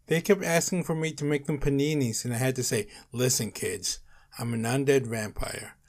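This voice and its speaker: A man's voice: mid-range voice, normal speed, natural voice. A man voicing a frustrated vampire monster in a story, complaining in an exasperated way. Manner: exasperated, shouting his complaints.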